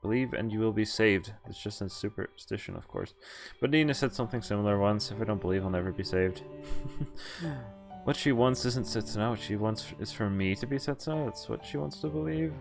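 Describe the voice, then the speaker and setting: Male game streamer, lowish voice, reads story text with offhand reactions, light background music. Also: a little fast, vocal fry. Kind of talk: live visual novel playthrough, reading text aloud with casual reactions.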